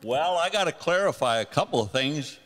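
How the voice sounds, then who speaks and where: A man's voice: a little high, expressive, fairly quick, fairly bright tone. An older man telling rambling, self-deprecating stories to a church congregation on a handheld mic. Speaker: guest singer sharing a testimony. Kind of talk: casual storytelling to a congregation. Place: church sanctuary. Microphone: handheld microphone. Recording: long hall reverb.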